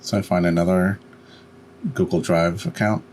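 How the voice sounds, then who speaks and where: A man with a deep voice narrates a software screencast. He explains each step plainly and without hurry, with faint noise behind him. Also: fairly even intonation, normal speed. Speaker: tutorial host.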